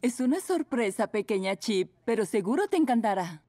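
A woman voicing a kindly, cheerful adult panda mother in a Latin American Spanish cartoon dub, gentle and nurturing. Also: fairly high pitch, very animated, moderate pace, no echo. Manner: gentle, nurturing, sing-song. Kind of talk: preschool cartoon dubbing in Spanish. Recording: music under the voice.

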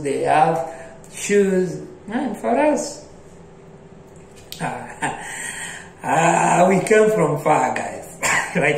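Young male vlogger, slow comic storyteller, laughs a lot, phone mic, roomy echo. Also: a little hiss or hum. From Africa. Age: young adult. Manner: laughing often, jumps into high put-on voices for quoted people. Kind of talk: casual comic storytelling to camera. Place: small bright indoor room. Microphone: phone held close at arm's length. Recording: echoey small room.

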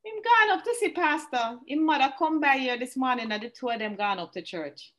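A woman's voice: sits high, expressive, brisk, a bit bright. A woman playing a feisty, independent elderly farm woman with a Jamaican accent in a radio drama, firm and teasing. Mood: matter-of-fact.

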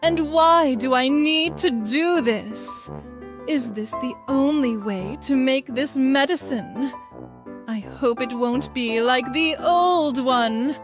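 A woman's voice: sits high, slow pace, music in the background. A woman voicing a naive, eager little pony filly in a cartoon comic dub. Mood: unsure, worried.

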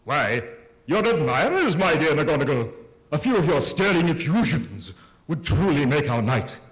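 A man's voice: fairly high pitch, sing-song, normal speed, rough recording. A man voicing a plummy, unctuous Victorian theatrical impresario with florid manners, in a comic stage story. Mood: smooth, insistent.

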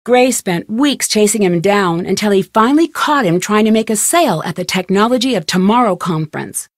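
Young female detective narrator, acted: medium pitch, composed scripted storytelling, no room sound. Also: lively intonation, brisk, no room sound, clean studio sound, close to an everyday voice. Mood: composed, reflective. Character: young woman detective narrating an epilogue letter. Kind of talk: scripted narration in a video game.

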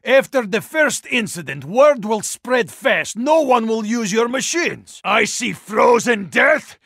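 A hulking, ice-cold Russian super-soldier for a video game. His voice has a gravelly edge and swings widely in pitch. He speaks in a formal, earnest, stoic way with a Russian accent, recorded very dry and close. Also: expressive, fairly bright tone, dry recording, heavily acted. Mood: forceful, resolute. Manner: formal, deliberate, literal-minded phrasing with dropped articles. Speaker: game character voice actor.

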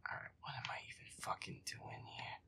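A man's voice: brisk, whispered, close to an everyday voice. A man playing a feisty, irritable werewolf boyfriend, muttering sarcastic, sweary commentary over a horror game. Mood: exasperated.

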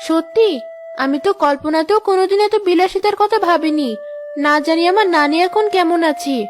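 A poor, kind-hearted girl in a fairy-tale cartoon. Her voice is high and girlish, humble and earnest, with music clearly playing behind her. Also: fairly quick.

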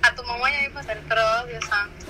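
A woman's voice: a little high, steady tone, quick pace. A young woman chatting earnestly and admonishingly over a phone speaker. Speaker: friend on the phone. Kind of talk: casual phone chat. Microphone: phone speaker picked up by camera. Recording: noisy phone call on speaker.

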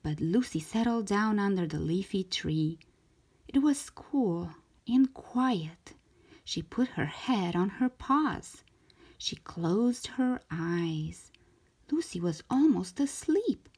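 Expressive woman reading a children's story, lively pitch. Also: slightly rough. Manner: expressive, deliberate, stretches key words. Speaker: children's storybook reader, show host. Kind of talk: read-aloud storytelling for young children.

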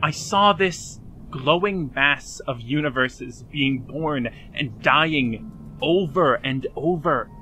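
Man acting a high, bright, anguished young guy. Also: slow pace, slight background noise, rough recording. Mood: pensive. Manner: emotional, rambling, self-deprecating. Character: anguished, self-doubting young man confiding in a friend. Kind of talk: fan audio drama acting. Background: music.

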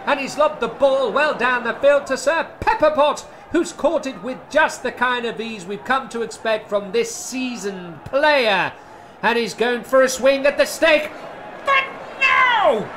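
A woman as an animated medieval match commentator. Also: sits high, unhurried, noisy background. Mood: excited. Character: excitable, folksy medieval match commentator, scornful. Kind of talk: spoof sports commentary.

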